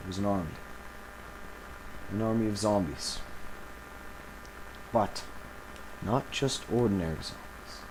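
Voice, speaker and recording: A man playing an embattled villain recording a grave confessional audio log, speaking haltingly and solemnly with dramatic pauses. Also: fairly low pitch, noisy background, lightly acted. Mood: determined, grave.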